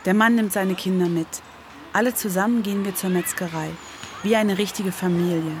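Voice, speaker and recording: A woman's voice: medium pitch, steady tone, fairly quick, a little hiss or hum. A woman giving scripted first-person narration as the author of the piece.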